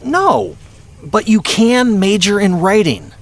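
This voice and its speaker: A man's voice: high-pitched, expressive, slow pace, gravelly, exaggerated voice. A man playing a chatty, doting dad. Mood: encouraging. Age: middle-aged. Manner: chatty, upbeat, soothing. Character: doting talkative middle-aged dad, a lawyer. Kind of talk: audio drama acting.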